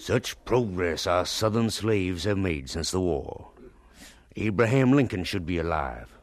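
An actor playing a bitter, sarcastic schoolmaster in a radio drama, scolding in a theatrical, sardonic manner. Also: male voice, low-pitched, expressive, unhurried, no echo. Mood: mocking. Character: bitter, sarcastic male schoolmaster, scornful and weary.

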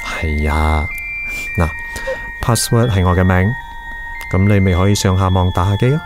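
A man's voice: sits low, moderate pace, music in the background, lightly acted. An actor playing a caring, reassuring young male team leader in a radio drama. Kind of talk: Cantonese radio drama acting.

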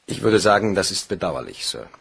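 An actor playing a meticulous, stoic bank cashier, formal and deferential, in a crime radio play. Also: male voice, low voice, very animated, brisk, vocal fry, fairly bright tone, breathy edge, full character voice. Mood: formal, subdued. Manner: formal, stiffly polite.

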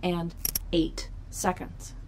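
A woman's voice: fairly low pitch, monotone, very slow, creaky, a little mellow, exaggerated voice. A woman playing a polite, officious computer assistant in an audio drama, speaking in a formal, stilted way. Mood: politely officious. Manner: formal, stilted, scripted helpfulness. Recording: noisy background.

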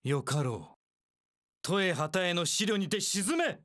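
A man's voice: high-pitched, animated, slow, a bit bright, dry recording, clean studio sound, exaggerated voice. An actor playing a cold, haughty warlord strategist in an action game, imperious, clipped and full of scorn for his underlings. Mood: cold disdain. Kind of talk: Japanese action game voice acting.

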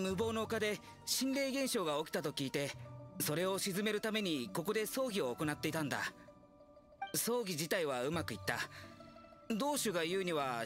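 An earnest young male undertaker's assistant explains politely and apologetically, with lively intonation. It is anime game dialogue over music. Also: high-pitched. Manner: apologetic, explanatory, matter-of-fact. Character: polite young man, earnest funeral parlor employee.

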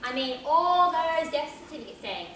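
A woman's voice: sits high, lively intonation, normal speed, fairly bright tone, a little hiss or hum. A woman playing a tart, accusing old lady from a care home in an amateur stage comedy, recorded at a distance in an echoey theatre. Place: large theatre stage, far camera.

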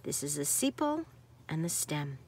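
A woman's voice: deep voice, very animated, unhurried, darkish tone, a little hiss or hum. A woman reading a nonfiction picture book aloud to children like a teacher, stressing key words.

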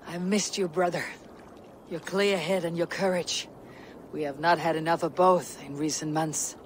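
High-voiced, stern Viking warrior played by a man. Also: fairly even intonation, moderate pace, slight background noise, natural voice. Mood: devoted. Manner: blunt, solemn. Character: stern, loyal Viking warrior, blunt and fierce. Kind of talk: dramatic video game acting.

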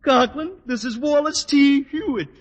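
An actor playing an excitable, mischievous teenage schoolboy with a cracking, slangy American voice in a 1940s radio sitcom. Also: male voice, a little slow, in a large hall, muffled recording. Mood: gleeful. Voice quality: high, cracking adolescent voice.

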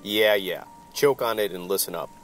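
Hard-boiled, world-weary detective, played by a man, low register, wry radio noir delivery, music bed. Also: moderate pace, natural voice, rough recording. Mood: gruff, threatening. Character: hard-boiled, wisecracking male supernatural private detective. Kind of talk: noir first-person narration, old-time radio drama.

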